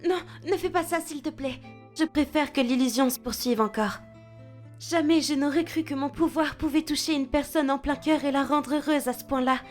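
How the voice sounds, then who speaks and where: High-voiced, earnest anime schoolgirl, acted by a woman. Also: normal speed, faint music behind, close to an everyday voice. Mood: pleading. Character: proud, earnest lovestruck teenage schoolgirl. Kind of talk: French anime fan dub acting.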